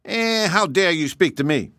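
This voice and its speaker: A man voicing a boastful, haughty male hare in a high, sneering tone. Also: expressive, unhurried, pushed and bright, no room sound, clean studio sound, full character voice. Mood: scornful.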